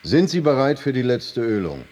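An actor playing a brisk, businesslike prison chaplain in a German radio drama, explaining things in short, didactic phrases with glib confidence. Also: male voice, mid-range voice, big pitch swings, a little mellow. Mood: courteous. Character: brisk, businesslike male prison chaplain, glibly simplifying doctrine. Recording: long hollow reverberation.

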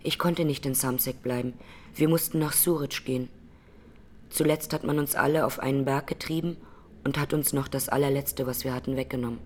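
A woman's voice: extremely low pitch, steady tone, brisk, slight background noise. Solemn woman survivor giving testimony. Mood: grave, sorrowful. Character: grieving Armenian woman, massacre survivor testifying in court. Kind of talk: radio drama courtroom testimony.

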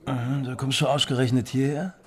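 An actor playing a boastful, hard-drinking East German secret police lieutenant in a radio drama, crude, condescending and tipsy. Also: male voice, mid-range voice, flat delivery, fairly quick, natural voice. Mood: needling, probing. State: drunk.

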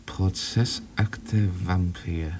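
An actor playing an earnest, impatient investigator in a German radio play, muttering urgently. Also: male voice, deep voice, extremely slow, darkish tone, slight background noise. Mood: puzzled, intent. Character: earnest, impatient male investigator digging through old files.

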